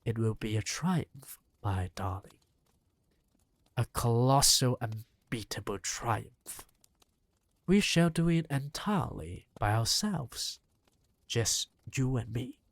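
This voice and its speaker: A reader voicing a fierce, spirited old grandmother in an audiobook, talking briskly and impatiently. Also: mid-range voice, animated, dry recording, pitched below the performer's own voice. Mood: grand, planning. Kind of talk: audiobook character reading.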